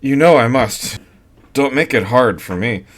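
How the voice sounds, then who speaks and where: A man's voice: mid-range voice, animated, measured pace. A man voicing a cheerful young husband who brushes off danger with forced unconcern. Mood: reassuring. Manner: breezy, falsely unconcerned.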